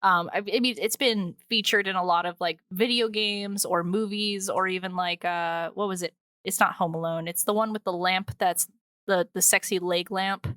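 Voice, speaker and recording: A woman's voice: medium pitch, steady tone, normal speed, fairly bright tone, no echo. Casual female podcast host, dry sound. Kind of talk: casual chat.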